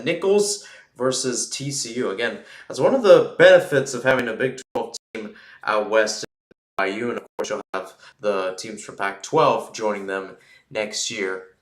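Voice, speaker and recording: A man's voice: medium pitch, fairly bright tone, echoey room. A man giving live play-by-play football commentary in an informal way, thinking aloud and correcting himself as he goes.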